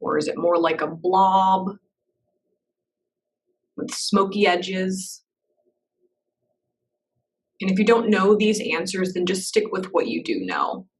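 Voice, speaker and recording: Soft-spoken woman guiding a meditation. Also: lowish voice, distant mic. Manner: soft, slow and reassuring, with gentle pauses. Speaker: meditation guide. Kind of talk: guided meditation.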